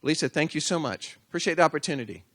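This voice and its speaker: A man with a fairly high-pitched voice talks at a community breakfast meeting. He is chatty and anecdotal. He leans on repeated words for emphasis. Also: lively intonation, rapid-fire, fairly bright tone. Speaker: community speaker. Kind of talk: casual community talk.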